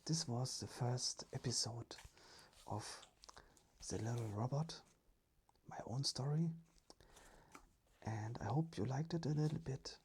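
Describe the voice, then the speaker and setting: A storyteller speaking in a breathy, whispery ASMR style, with deliberate, gentle delivery. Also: mid-range voice, slight background noise.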